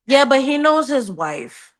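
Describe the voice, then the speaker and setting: High-voiced woman, slangy and emphatic. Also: big pitch swings, measured pace. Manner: slangy, profane, emphatic, repeats phrases for emphasis. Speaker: book club podcast co-host. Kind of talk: casual chat, animated book discussion.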